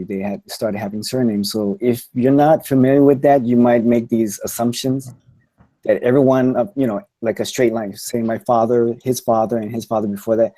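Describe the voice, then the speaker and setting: A man speaking as a guest on a panel, hesitant with many pauses and ums. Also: mid-range voice, warm tone, poor audio. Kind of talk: casual panel discussion.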